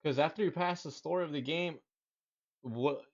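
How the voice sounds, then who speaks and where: A man hosting a gaming reaction video, excitedly commenting over clips and calling everyone "dude". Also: on the high side, normal speed, highs cut off. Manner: excitable, talks over the clip, says "dude" often. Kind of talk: casual live reaction commentary.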